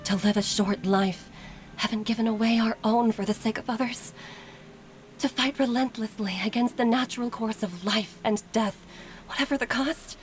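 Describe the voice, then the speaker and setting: An actress playing an earnest, worried female physician and mother in a video game, with a grave, soft, sighing delivery. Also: a little high, lightly acted. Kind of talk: dramatic video game voice acting. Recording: game dialogue over background music.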